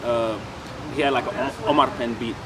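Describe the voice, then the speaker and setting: A man's voice: medium pitch, a crowd in the background, rough recording. A man from Senegal, a rapper, chatting casually and animatedly in an interview, with lots of fillers. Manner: fast, animated, loose with many fillers. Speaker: rapper guest. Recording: outdoors with loud traffic noise.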